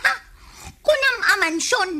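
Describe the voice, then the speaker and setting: Nangato ti timekna a balasang. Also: female voice, extremely high pitch, animated, unhurried, sharp tone, exaggerated voice. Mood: coy, surprised. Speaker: radio drama actor. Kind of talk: comedic Ilocano radio drama acting.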